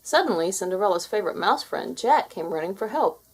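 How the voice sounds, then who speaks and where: A woman's voice: mid-range voice, expressive, brisk, breathy edge. A woman narrating a children's fairy tale as a scripted read-aloud.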